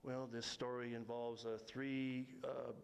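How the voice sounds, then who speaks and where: A man telling a story live in a folksy, rambling, self-deprecating and halting way. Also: mid-range voice, little pitch movement, gravelly, slight background noise. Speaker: storyteller and local history author. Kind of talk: live tall-tale storytelling to an audience.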